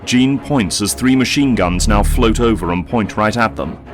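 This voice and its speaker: A man's voice: on the low side, moderate pace. A man reading dramatic scripted narration for an audio drama. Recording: loud music under the voice. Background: loud music.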